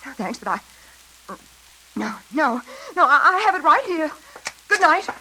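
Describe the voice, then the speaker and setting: A woman playing a nervous, desperate heroine in a 1940s radio suspense drama, halting and breathless. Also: sits high, big pitch swings, noisy background. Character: frightened, haunted single woman, nervous and desperate. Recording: old radio recording.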